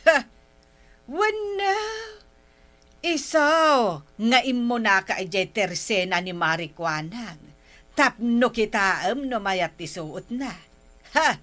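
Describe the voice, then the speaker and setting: A woman's voice: a little high, expressive, takes it slowly, bright, forceful tone, heavily acted. A woman with an Ilocano accent playing a jealous, nagging older wife who scolds indignantly in comic drama. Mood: jealous, scolding. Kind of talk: broad comic drama acting.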